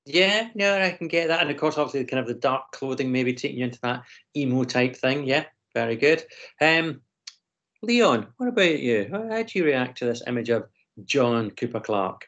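Male teacher, hesitant and filler-heavy, middle pitch, slightly muffled video call. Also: lively intonation, normal speed, a bit bright. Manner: hesitant, frequent ums and restarts. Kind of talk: online class discussion.

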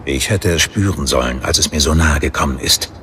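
Deep-voiced, steadfast holy warrior. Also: male voice, fairly quick, bright, forceful tone, a little hiss or hum. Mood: alert, serious. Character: devoted human warrior of the Light, steadfast. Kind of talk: dramatized fantasy audiobook acting.

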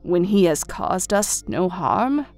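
A woman playing a calm, knowing seer in a fantasy audio drama. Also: on the low side, slow, faint music behind.

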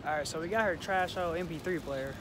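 A young American man with a notably high voice talks in loose, slangy vlog style. He is recorded on a handheld phone with loud everyday background noise all around him. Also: a little fast. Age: 20s. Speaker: vlogger. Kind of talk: casual vlog chat, slangy. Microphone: phone at arm's length. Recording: noisy outdoor, car and store ambience.

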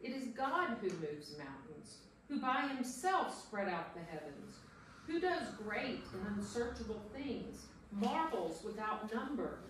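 A woman's voice: mid-range voice, big pitch swings, unhurried. A woman playing an anguished, despairing sufferer who cries out against God in a solemn dramatic scripture reading. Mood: resigned lament. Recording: echoey room from far off, faint noise.

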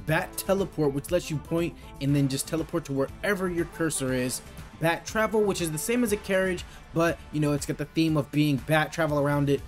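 A young man giving casual, slangy tutorial commentary as a gaming video host. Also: fairly high pitch. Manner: informal, slangy, explanatory. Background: music.

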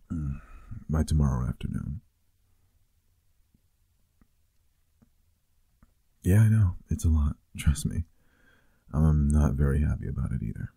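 Male actor as teasing, loving boyfriend for ASMR roleplay; extremely dark, mellow, husky voice, close-miked. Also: rumbling low, no room sound, heavily acted. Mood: weary, resigned. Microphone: close microphone.

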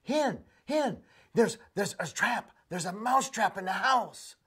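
A panicky little mouse squeaks and stammers out his warning in a high, bright, slightly breathy voice, as a male storyteller puts him on. He keeps repeating himself in urgent bursts, the way a nervous small creature would in a spoken folk tale. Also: lively intonation, takes it slowly, full character voice.

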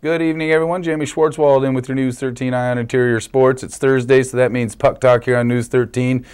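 Fast-talking young sports anchor, man. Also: mid-range voice, level tone, darkish tone, clean tone, soft background music. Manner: upbeat broadcast delivery, short stumbles. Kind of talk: sports news interview hosting. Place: small local TV news studio, indoors. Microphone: lapel mic.

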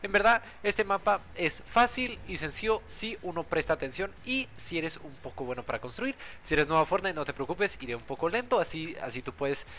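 A man's voice: on the high side, expressive, fairly quick, hardly any pauses, bright, forceful tone, a little hiss or hum. A young man giving a casual, friendly gameplay tutorial, talking directly to his viewers in an informal way. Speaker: gaming video creator and host.

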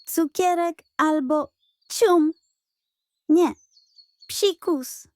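A woman voicing a greedy, lazy male pug dog who gets indignant and whiny in a high, overexcited voice. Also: drawn-out words, darkish tone, no echo, clean studio sound, heavily acted. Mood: rehearsing.

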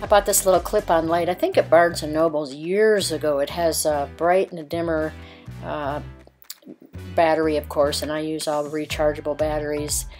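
A middle-aged woman chatting casually in a van vlog, rambling with fillers and soft laughs as she walks through a recipe. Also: sits low, fairly even intonation, normal speed, faint music behind. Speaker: vlogger. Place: inside a parked passenger van.

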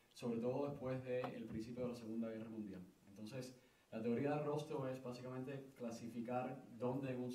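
A young man speaks at a conference panel table and answers a question at length, stumbling over fillers and restarting his phrases. His voice sits at an ordinary pitch. It carries the hollow ring of the room and sounds a little distant from the microphone. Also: mellow. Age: young adult. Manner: hesitant, many fillers and restarts. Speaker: book co-author on a panel. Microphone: table stand mic. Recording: roomy, distant from the mic.

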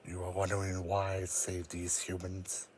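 A man voicing a brooding, proud cloned psychic creature in an audio roleplay, speaking solemnly with dramatic, deliberate pauses. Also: deep voice, completely flat delivery, darkish tone, a little hiss or hum, close to an everyday voice. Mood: guarded. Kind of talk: scripted ASMR audio roleplay monologue.

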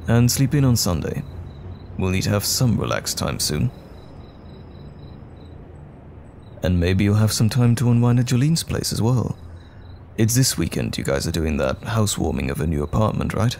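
Man playing a tender, low-voiced boyfriend. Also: sing-song, brisk, gravelly, a little hiss or hum. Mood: comforting. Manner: gentle, soothing, affectionate. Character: tender, worried boyfriend comforting a troubled partner at night. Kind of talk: intimate roleplay audio drama, soft bedside talk.

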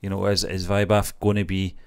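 A man with a fairly low voice gives his opinions as a reaction show co-host. He sounds middle-aged and talks casually, feeling his way through sentences, close on the mic. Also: flat delivery, fairly quick, clean tone. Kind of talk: casual review chat. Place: small indoor home studio. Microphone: close microphone on boom arm.

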